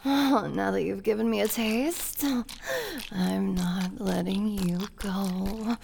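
A woman playing a possessive, adoring elven princess in an intimate adult audio roleplay, breathy and moaning, often dropping into whispers. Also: mid-range voice, slow pace, background noise. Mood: possessive, sultry.

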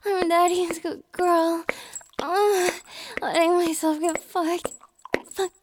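A young adult woman performing an intimate audio roleplay, whispering softly with breathy sighs and a pleading, submissive delivery. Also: very high voice, steady tone, slow, slight background noise.

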